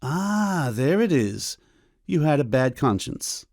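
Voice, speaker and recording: A man playing a stern, middle-aged doctor and father in a period audiobook drama, with a measured, formal, coolly ironic manner. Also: a little high, sing-song, a little mellow, no room sound, clean studio sound, full character voice. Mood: stern, disapproving. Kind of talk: full-cast audiobook period drama acting.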